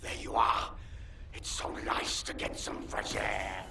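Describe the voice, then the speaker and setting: A man's voice: fairly low pitch, little pitch movement, slow pace, creaky, sharp tone, heavily acted. A man voicing a manic alien pyromaniac villain in a video game, erratic, swinging between begging and threats. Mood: pleading, menacing. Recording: distant, echoing, noisy game audio.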